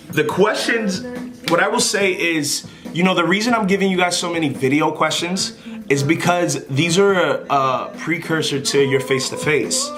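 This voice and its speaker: A man giving casual, unscripted advice to a camera as a vlogger, in a rambling, informal and apologetic manner. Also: a little high.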